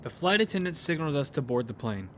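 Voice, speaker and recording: A man's voice: a little high, big pitch swings, fast, slight background noise. A man playing a determined lead who narrates his own survival story in a matter-of-fact way. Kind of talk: first-person audio fiction narration. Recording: music bed behind the voice.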